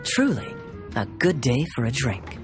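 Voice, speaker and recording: An actor playing a suave young officer in a video game, smooth-talking and wry with theatrical charm. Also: male voice, medium pitch, huge pitch swings, a little slow. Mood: wry, teasing. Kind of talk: English video game voice acting. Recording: music playing behind the voice.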